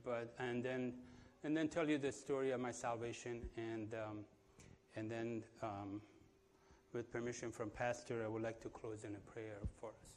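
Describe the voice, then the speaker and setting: A man in his fifties from Iran, with an Iranian accent, gives his testimony in a church, pausing often with fillers and restarts. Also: medium pitch, steady tone. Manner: halting, full of fillers and restarts. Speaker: guest speaker giving testimony.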